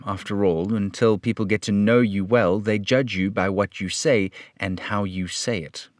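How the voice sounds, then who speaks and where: A man voicing a firm, upright police detective in an old-time radio play, speaking in a measured, lecturing way. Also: lowish voice, steady tone, dry recording, studio quality, natural voice.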